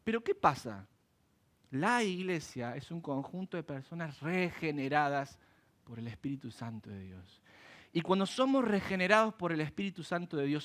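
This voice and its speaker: High-voiced animated preacher man. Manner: animated, acts out quoted voices and asks rhetorical questions. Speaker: pastor. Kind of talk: sermon. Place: church.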